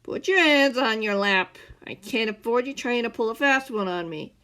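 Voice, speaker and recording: A woman voicing a short-tempered male captor who snaps and growls loudly. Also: mid-range voice, very animated, moderate pace. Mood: angry. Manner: snapping, growling and loud.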